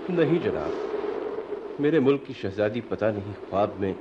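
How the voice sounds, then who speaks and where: An actor playing an earnest, devoted astrologer in a radio drama, formal and deferential, with theatrical Urdu diction. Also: male voice, fairly low pitch, expressive, moderate pace, highs cut off, close to an everyday voice. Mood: eager, polite. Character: courteous, earnest astrologer and devoted foster-brother of princess. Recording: noisy radio play recording.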